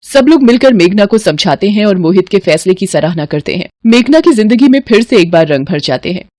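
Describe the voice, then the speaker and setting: A woman's voice: medium pitch, lively intonation, brisk, mellow, no room sound, clean studio sound. A woman narrator reading a scripted dramatic family story.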